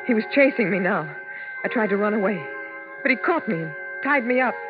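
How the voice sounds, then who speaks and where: A woman's voice: medium pitch, expressive, a little fast, lo-fi sound, close to an everyday voice. Weary showgirl played by an actress, old radio sound. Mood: drowsy, uneasy. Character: weary Las Vegas showgirl recounting her ordeal. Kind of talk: 1950s radio drama acting, first-person narration. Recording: band-limited 1950s radio, music behind.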